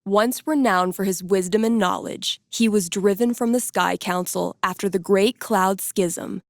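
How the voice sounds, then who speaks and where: An actress playing a young female game master in a video game, narrating dark fantasy in a suspenseful, theatrical way. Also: mid-range voice, measured pace, no room sound, studio quality, natural voice. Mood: portentous. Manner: suspenseful, portentous.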